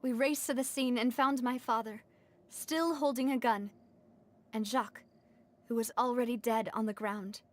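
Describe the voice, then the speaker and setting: A woman's voice: a little high, fairly even intonation, moderate pace, lightly acted. A woman playing a determined, grieving young noblewoman in a video game, speaking in an earnest, articulate and emotive way. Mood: somber, reflective. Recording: background music under dialogue.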